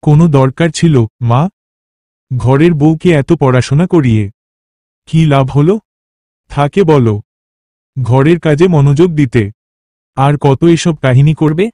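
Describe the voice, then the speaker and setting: A complaining young village son and husband, played by a man, argues in cartoon dialogue. His voice is fairly high for a male and soft-edged, recorded dry, with a grumbling delivery. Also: unhurried, pauses often, no echo, natural voice. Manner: grumbling, argumentative.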